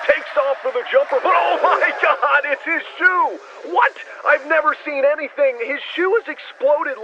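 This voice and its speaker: An actor playing an excitable play-by-play basketball broadcaster in an audio drama, calling a game like sports radio. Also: male voice, high-pitched, close to an everyday voice. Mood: shocked. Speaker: sports commentator. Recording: dull radio-style broadcast with noise.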